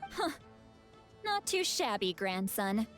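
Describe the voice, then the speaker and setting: A woman voicing a feisty, proud grandmother in a video game, brash, scolding and teasing with gruff affection. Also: medium pitch, big pitch swings, slow pace, fairly bright tone, faint music behind. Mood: grudging praise.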